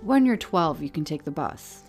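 A woman voicing an affectionate, teasing father in a story, warm and playfully insistent. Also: low voice, big pitch swings, normal speed, soft background music. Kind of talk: storybook character dialogue.

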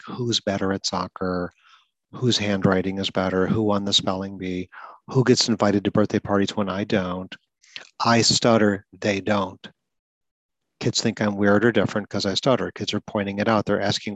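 A man with a fairly low voice talks earnestly and explains things step by step. Now and then he repeats a word, as a person who stutters. The sound is dry and close to the mic. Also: dry recording, rough recording. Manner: earnest, explanatory, occasional repetitions. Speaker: podcast host. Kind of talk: advocacy talk about stuttering.

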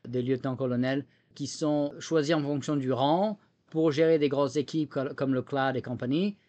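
A man's voice: medium pitch, moderate pace, mellow. A man from France with a slight American tinge to his French accent, giving explanatory interview answers and often repeating words as he searches for the next. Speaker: technology entrepreneur and former government official, interview guest.

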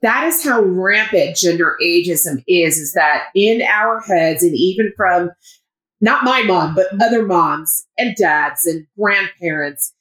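A woman in her fifties, a guest in an interview, talking loudly and with enthusiasm. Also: lowish voice, expressive, slow, echoey room, rough recording. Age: 50s. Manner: loud, emphatic, enthusiastic. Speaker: guest, founder of a women's community. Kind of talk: conversational interview.

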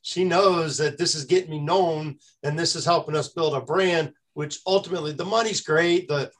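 A man's voice: a little high, normal speed. A man hosting a podcast, talking in a conversational way with frequent stammered restarts. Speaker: podcast host. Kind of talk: casual podcast interview.

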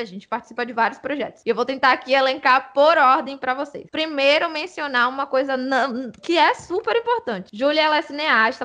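Young woman, high-pitched, enthusiastic podcast host introducing guests. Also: a bit bright. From Brazil. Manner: enthusiastic, chatty slang.

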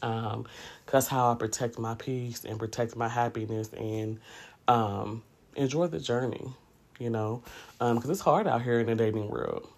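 A man's voice: mid-range voice, normal speed, gravelly, a little mellow. A man in his late thirties hosting a podcast, talking candidly in a casual, reflective monologue with conversational asides. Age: late 30s. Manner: candid, conversational, often says "you know". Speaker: podcast host.

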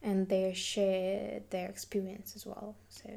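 Young woman student, english not her first language, kinda creaky n slow, feeling for words in a relaxed chat. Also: medium pitch. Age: young adult. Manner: hesitant, searching for words. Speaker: university linguistics student being interviewed. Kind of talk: casual interview conversation.

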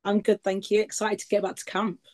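Twenties woman, casual rambling guest, mid-pitched voice. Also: flat delivery, a little fast, a little mellow. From East Midlands, England. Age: 20s. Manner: chatty, rambling with many likes and ums. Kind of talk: casual interview chat.

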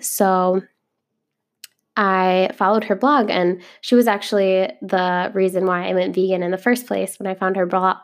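A young woman talks casually into her podcast mic, filling her sentences with ums and likes. Her voice has a gravelly fry and stays fairly flat in pitch, and she sounds a little sniffly from a cold. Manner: chatty, filler-heavy, frequent ums and likes. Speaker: podcast host. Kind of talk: casual solo podcast monologue.

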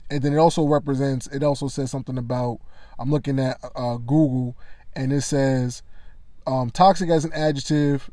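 A man with a loud voice giving casual, conversational commentary on sports media, often adding "you know what I mean.". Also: mid-range voice, fairly even intonation, moderate pace, dry recording, studio quality.

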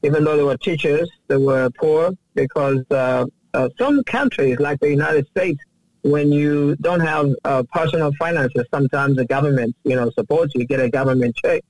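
Upbeat man, higher voice. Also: moderate pace, dry recording, rough recording. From Uganda. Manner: earnest, upbeat storyteller who chuckles easily. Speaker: guest, worship minister. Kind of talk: casual radio interview, personal story.

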